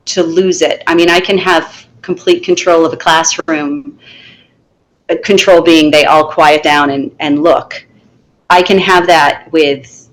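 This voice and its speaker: Firm, lively low-voiced woman teacher. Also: low-quality recording. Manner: firm, animated, acts out classroom lines. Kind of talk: conversational interview.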